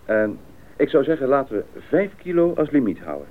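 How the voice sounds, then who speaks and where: Man acting brisk bush pilot, tinny old recording. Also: medium pitch, big pitch swings, natural voice. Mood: businesslike. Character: capable, decisive bush pilot and leader of an expedition. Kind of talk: radio play acting. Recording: tinny, band-limited old radio play, engine noise.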